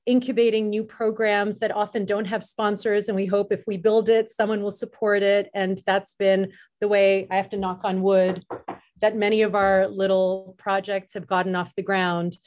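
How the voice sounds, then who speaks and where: A woman's voice: mid-range voice, flat delivery, normal speed, darkish tone. A woman speaking as a festival director in an interview, explaining things casually in a candid, emphatic way.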